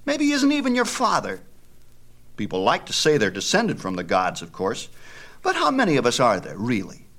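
A man's voice: mid-range voice, wildly swooping pitch, normal speed, fairly bright tone, pitched above the performer's own voice. A man voicing a boastful, taunting young boy, cocky and scornful, in a mythology storybook. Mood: scornful, doubting.

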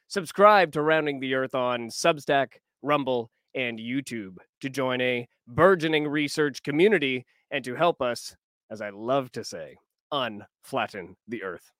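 A man's voice: fairly high pitch, dry recording, clean studio sound. A man hosting a podcast, reading upbeat scripted introductions and making playful jokes with his guests. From Canada. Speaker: podcast host. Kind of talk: podcast conversation.

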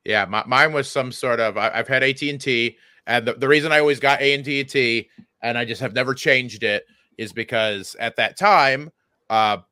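A man's voice: medium pitch, moderate pace, bright, forceful tone, no room sound, clean studio sound. A man chatting casually and comedically as a podcast co-host, rambling and often interrupting himself, with some swearing. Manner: rambling, self-interrupting, profane.

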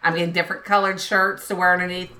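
Bright-voiced woman, rambling chat. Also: fairly low pitch, level tone, quick pace. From lived in New Orleans for twenty plus years. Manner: rambling, chatty, laughs easily. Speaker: podcast co-host. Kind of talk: casual chat.

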